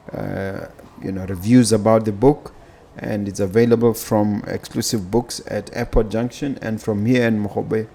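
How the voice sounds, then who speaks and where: A male podcast host with a Botswana accent speaks in a casual way, feeling his way from phrase to phrase. His voice sits fairly low and has a noticeable gravelly fry, with a soft, dark tone. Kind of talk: casual podcast hosting and interviewing.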